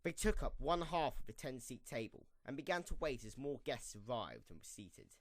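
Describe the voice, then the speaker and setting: Man narrating, lively and swooping pitch. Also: normal speed, no echo. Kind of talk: scripted storybook narration for a fan audio drama.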